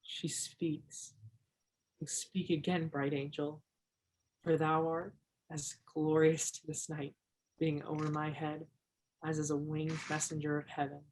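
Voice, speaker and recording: A man's voice: a little high, husky, rough recording. Man playing an earnest young backwoodsman. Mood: tender, reciting. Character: earnest, shy young Alaskan backwoodsman who loves Shakespeare. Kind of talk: one-act stage play acting.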